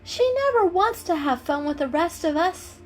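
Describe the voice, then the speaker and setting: A woman voicing a curious, excitable young female kitty in a storybook reading, with an eager, questioning manner. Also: extremely high pitch, moderate pace, soft background music, voice pushed up. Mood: perplexed, irritated.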